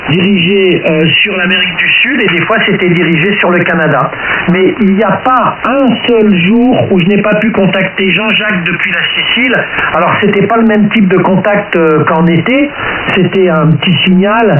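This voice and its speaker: A man talking casually as an amateur radio operator, heard through a shortwave transmission. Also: high-pitched. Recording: hissy, echoey phone-like radio link.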